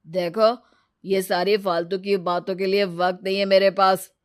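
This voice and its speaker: Selfish cartoon husband, voiced by a woman: irritable, loud, dismissive, harsh and scolding. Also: medium pitch, level tone, measured pace, clean tone, lightly acted. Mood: angry, dismissive. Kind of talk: Hindi cartoon moral story dialogue.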